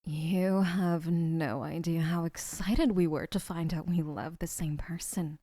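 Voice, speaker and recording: A woman's voice: lowish voice, steady tone, a little slow, no room sound, studio quality. Jackie, a woman playing a gushing obsessive kidnapper. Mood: adoring. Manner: soft, gushing, often whispering. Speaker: voice actor. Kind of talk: audio roleplay.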